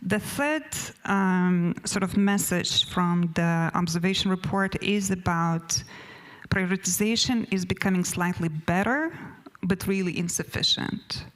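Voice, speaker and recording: A woman's voice: lowish voice, measured pace. A woman with a Lithuanian accent, a non-native English speaker giving a conference panel presentation from notes, with many hesitations and restarts.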